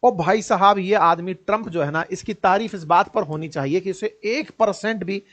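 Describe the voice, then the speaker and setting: A man's voice: high-pitched, normal speed, fairly bright tone. An Indian man giving informal, colloquial news commentary with a mocking edge, often putting rhetorical questions straight to his listeners.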